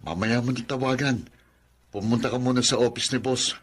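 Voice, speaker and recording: A man's voice: mid-range voice, steady tone, fairly quick, lightly acted. An actor playing a friendly, teasing male co-worker in a radio drama.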